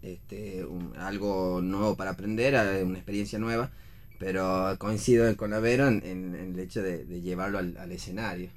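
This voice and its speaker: An Argentine man, a stage actor, talking earnestly and haltingly in a casual radio discussion about theater, repeating words as he searches. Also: sits low, steady tone.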